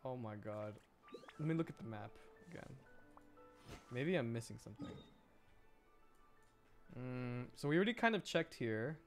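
A man's voice: mid-range voice, lively intonation, moderate pace, long pauses, soft background music. A man doing casual live gameplay commentary as a streamer, talking to himself in exasperated questions. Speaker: game streamer.

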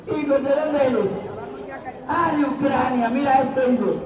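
A Cuban man performs playful street magic patter in a strikingly high, projected voice. He jokes at his own expense as he works the audience. The sound is distant and echoey, with a lively crowd around him in the open air. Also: unhurried. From Cuba. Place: outdoor town square in front of an audience. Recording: echoey outdoor crowd recording from far off.

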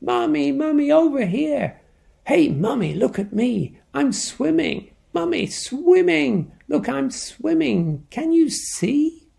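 A woman voicing a small, nervous young male chick in a storybook, speaking in high, childlike squeals. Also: very animated, takes it slowly, gravelly, warm tone, heavily acted. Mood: excited.

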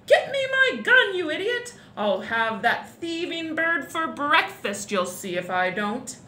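A pompous old nobleman from a storybook, acted out by a woman, blustering and bellowing with outrage. The pitch is high and swoops wildly, and the tone is sharp. The room echoes, with faint noise behind. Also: sing-song, unhurried, exaggerated voice. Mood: furious. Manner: shouting, bellowing, exclamatory. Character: blustering old Duke, furious pompous aristocrat. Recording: roomy echo, faint background noise.

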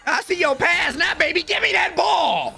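A young American man reacts live in an excited, slangy, exclamatory way. His voice is bright and pitched very high for a man, swinging widely as he goes. He speaks close to a desk mic, with music from the show underneath. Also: moderate pace, creaky. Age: young adult. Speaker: reaction video host. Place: small room at home. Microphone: close desk microphone.

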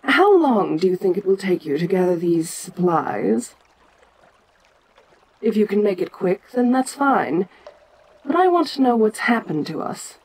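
An ancient giantess monster queen played by a woman in an audio roleplay. She speaks slowly and deliberately at a middle pitch, stately and domineering. Her pride comes with curiosity about the small human she addresses. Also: big pitch swings, a little mellow, slight background noise, low-quality recording. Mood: measured, questioning. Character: ancient giant female kaiju queen, proud, imperious, curious.

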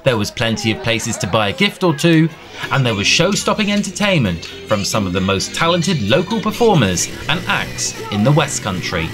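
A man narrating a local news report in an upbeat, lively way. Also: mid-range voice, normal speed, over music.

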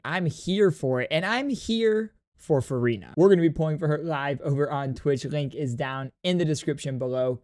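A young man giving casual, animated, rambling reaction commentary as a streamer, close on the microphone. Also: a little high, no echo. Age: young adult. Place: small room at home, indoors. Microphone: close boom-arm microphone.

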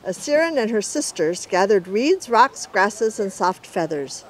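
A middle-aged woman reading a children's story aloud in an expressive storyteller's way. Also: medium pitch, a little slow, a little hiss or hum, low-quality recording.

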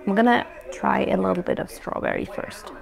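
Young woman with a gravelly edge. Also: medium pitch, steady tone, fairly quick, low-quality recording. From Austria. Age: young adult. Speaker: vlogger. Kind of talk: casual chat to camera.

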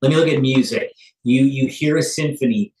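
A man's voice: medium pitch, brisk, a little mellow, far from the mic. A man in his forties giving a casual, off-the-cuff interview in an office, picked up by a phone across the room. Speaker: studio manager being interviewed. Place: small office, indoors. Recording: echoey office, away from the phone.

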